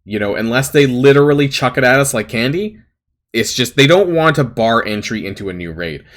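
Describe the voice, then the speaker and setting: Man, gaming video host, casual rambling talk, mid-pitched, slightly raspy, lots of fillers. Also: animated, brisk, a bit bright. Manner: rambling, many fillers and self-corrections. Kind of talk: casual gaming commentary to camera.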